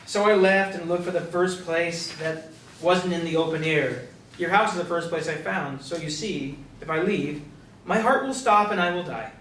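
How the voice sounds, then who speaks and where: A man's voice: a little high, normal speed, fairly bright tone, lightly acted. A man playing a naive, frightened young villager cast out of a cult, telling his story earnestly in a staged play reading. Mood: sorrowful. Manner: earnest storyteller, stammering when pleading. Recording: roomy, distant stage recording with noise.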